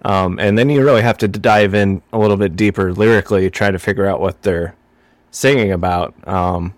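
A male podcast co-host with a low voice and a slightly phlegmy sound, recorded very dry. He chats casually, feeling his way through explanations with thoughtful pauses. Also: no room sound.

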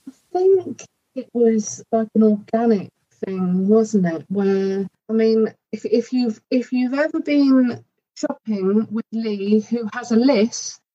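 A woman's voice: on the high side, expressive, takes it slowly, mellow, lo-fi sound. A woman from the UK answering in an interview, frank and plainspoken, checking in with her husband as she goes. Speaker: podcast guest. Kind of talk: candid conversational interview.

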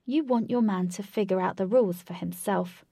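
A woman's voice: medium pitch, moderate pace, creaky, warm tone, breathy edge, dry recording, clean studio sound. Woman acting a knowing, cheeky love adviser. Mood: teasing, knowing. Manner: playful, emphatic advice-giving. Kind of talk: audiobook character voice.

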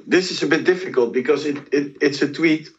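A man's voice: fairly high pitch, fairly quick, slight background noise. A man co-hosting a casual interview, asking questions in a jokey way. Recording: slightly dull, lo-fi audio.

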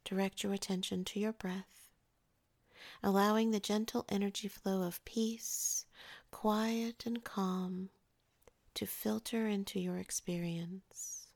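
A woman narrating a scripted guided meditation in a soothing, measured way, softening the ends of her phrases. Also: medium pitch, fairly even intonation, vocal fry.

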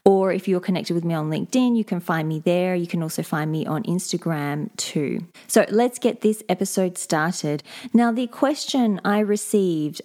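A woman, a leadership coach, talking alone on her podcast, explaining things in a steady, measured way. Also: fairly low pitch. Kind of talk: solo podcast monologue.

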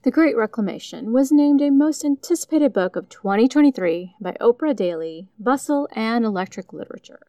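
A woman's voice: a little high, animated, normal speed, few pauses, dark tone, dry recording, distant mic. A woman hosting a podcast, reading a scripted introduction. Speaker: podcast host.

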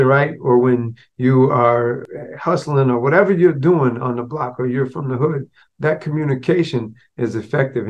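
A man's voice: medium pitch, dull audio. A man hosting a podcast solo, talking casually with a slight stutter and restarts, mixing street slang with business talk. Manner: conversational, street slang mixed with business terms. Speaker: podcast host.

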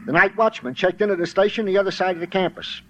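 A man acts an eager police sergeant in a very high male voice. He is chatty, earnest and working-class, a comic sidekick of 1940s radio. The old recording is muffled and slightly noisy. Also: steady tone, fast talker, close to an everyday voice.

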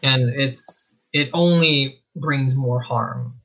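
Bright-voiced young man, earnest and reflective. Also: medium pitch, very slow, low-quality recording. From Israel. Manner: earnest and reflective, with frequent fillers and restarts. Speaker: host of a live discussion stream. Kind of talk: earnest livestream talk.

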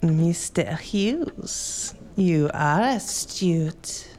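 An actress playing an anxious society hostess in a comic murder-mystery audio drama, with breathless, melodramatic, formal delivery. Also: female voice, on the low side, expressive, drawn-out words, darkish tone, a little hiss or hum. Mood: dramatic. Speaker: party hostess.

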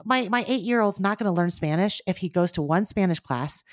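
A woman in her thirties whose native tongue is Mandarin, co-hosting a casual faith podcast with earnest, animated talk that speeds up in bursts. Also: mid-range voice, a little fast, no echo, studio quality. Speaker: podcast co-host.

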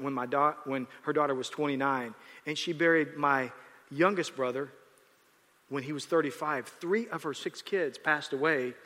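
Male pastor, fairly high, bright, forceful voice; rambling conversational sermon with long hall reverb. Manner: rambling, self-correcting, laughs at times.

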